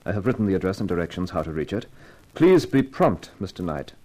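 An actor playing a suave, exacting Arabian sheik with formal, measured, precise speech in a 1950s radio drama. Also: male voice, deep voice, big pitch swings, darkish tone. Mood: smooth, formal.

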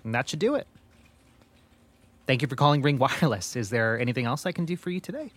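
A man's voice: medium pitch, very animated. Courteous young male call-centre rep. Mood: polite, businesslike. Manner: courteous, measured, hesitant when personal. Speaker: customer service representative. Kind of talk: naturalistic audio drama phone dialogue.

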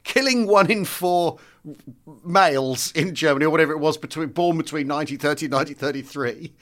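A man co-hosting a history podcast, talking in an animated, casual way and often restarting his phrases. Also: on the high side, fairly quick. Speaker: podcast co-host. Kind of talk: casual conversational history chat.

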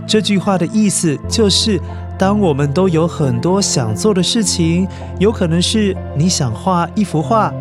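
Children's podcast host, male, Taiwanese Mandarin accent, slightly high pitch, gentle explanatory storytelling, music underneath. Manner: gentle coaxing, simple explanatory talk to children. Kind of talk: storytelling addressed to young children.

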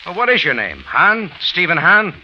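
An American actor playing a tough, sardonic private investigator in old radio drama style, with clipped hard-boiled delivery. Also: male voice, high-pitched, big pitch swings, normal speed. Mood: sardonic, pressing. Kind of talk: 1940s-50s radio drama acting. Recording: muffled old radio recording, faint noise.

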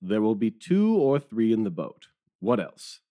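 A bossy but agreeable police detective, acted by a man in an audio drama. His voice is fairly low and very animated, hearty and full of bluster. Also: unhurried. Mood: businesslike.

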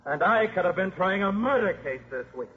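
An actor playing a stern, pressing courtroom lawyer with a husky, rough voice and formal delivery in 1950s radio style. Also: male voice, sits high, lively intonation, brisk, a little hiss or hum. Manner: formal, insistent. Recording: thin, phone-like old radio recording.

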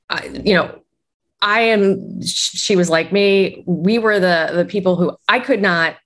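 A woman's voice: lowish voice, fairly bright tone. A woman executive coach, a guest answering interview questions in a reflective way. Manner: reflective, uses "you know" often.

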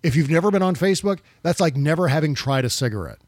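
A man's voice: on the high side, expressive, quick pace, darkish tone, no echo, studio quality. Casual, profane middle-aged male podcast host. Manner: conversational, profane, many fillers. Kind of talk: casual political chat.

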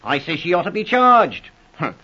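Stubborn blustering old-radio policeman, husky. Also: sits high, very animated, normal speed, bright, forceful tone, heavily acted. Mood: indignant bluster. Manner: blunt, indignant, rustic ungrammatical speech. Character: stubborn, blustering policeman eager to charge the suspect. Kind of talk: 1940s radio drama acting. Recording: muffled, rough old radio recording.

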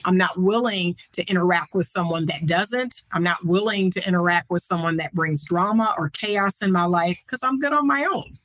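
A woman who is a counselor, giving measured and encouraging advice in a podcast conversation. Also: on the low side, fairly bright tone, dry recording. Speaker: guest counselor.

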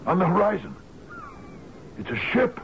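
An actor playing an aging, gruff sea captain in a 1970s radio drama, commanding yet lonely, halting in his speech. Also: sits high, big pitch swings, exaggerated voice. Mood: urgent, transfixed. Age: older. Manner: gruff, halting, swings from muttering to shouting. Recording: dull, noisy 1970s radio broadcast.

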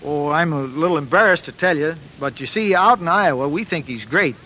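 A man's voice: a little high, a little fast, bright, forceful tone, slight background noise, poor audio. A man playing an eager, polite, naive young musician, earnest and bashful, in 1940s radio drama style. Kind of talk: 1940s radio acting and narration. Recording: old 1940s radio broadcast.

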